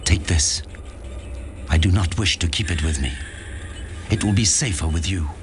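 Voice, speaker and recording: An actor playing a grave, pleading male seer in a fantasy game, speaking solemnly and deliberately. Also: sits low, noisy background, close to an everyday voice. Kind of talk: dramatic game dialogue. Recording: loud music behind.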